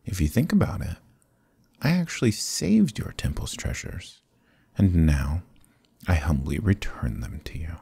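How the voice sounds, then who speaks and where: A man playing a sly, charming adventurer thief in a fantasy ASMR roleplay, smooth-talking, courtly and evasive, with theatrical hesitations. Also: low voice, wildly swooping pitch, measured pace, dark tone, dry recording, heavily acted. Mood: flustered, bluffing. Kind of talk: fantasy ASMR roleplay acting, close and soft.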